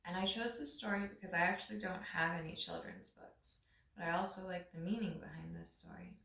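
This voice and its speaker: A woman's voice: fairly low pitch, fairly even intonation, a little fast, vocal fry, pushed and bright. A woman giving a dramatic storybook reading aloud, recorded in an echoey room at some distance from the microphone. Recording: roomy echo, distant microphone.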